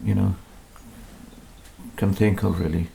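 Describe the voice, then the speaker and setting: A man's voice: sits low, fairly even intonation, slow, creaky, mellow, a little hiss or hum, rough recording. A man, a composer being interviewed in his music room, talking in a modest, hesitant way with many restarts. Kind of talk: relaxed conversational interview.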